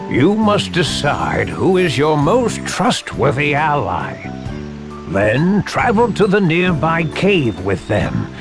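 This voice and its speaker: A man's voice: on the high side, creaky, heavily acted. A man voicing a kindly, teasing, ancient sage with old-fashioned, grandfatherly speech in a video game. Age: older. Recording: game music behind voice. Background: music.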